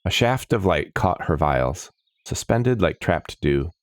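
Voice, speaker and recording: A man reading scripted fantasy narration in a measured, atmospheric storytelling manner. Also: deep voice, lively intonation.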